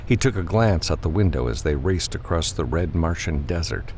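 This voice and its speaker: A man's voice: low voice, lively intonation, moderate pace, a little mellow, slight background noise. A man reading scripted fiction as an audiobook narrator.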